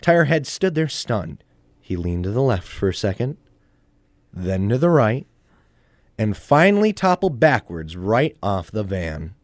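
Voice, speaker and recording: Casting: man as story narrator, scripted delivery with animated pitch. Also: unhurried, dry recording, studio quality.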